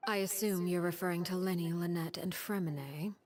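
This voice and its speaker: An actress voicing a poised, ruthless woman who leads a strict house, speaking calmly with quiet menace in a game scene. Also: fairly low pitch, lightly acted. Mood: composed. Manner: calm, measured, quietly menacing. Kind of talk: video game voice acting.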